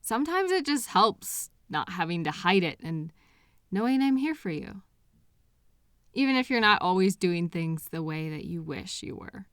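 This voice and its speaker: A woman's voice: lowish voice, big pitch swings, fairly bright tone, no echo, clean studio sound. A woman playing a loving, patient girlfriend in an intimate comfort roleplay, speaking to her anxious partner in a tender, reassuring manner. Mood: earnest, reassuring. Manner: tender, reassuring, unhurried pauses with sighs. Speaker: audio roleplay performer.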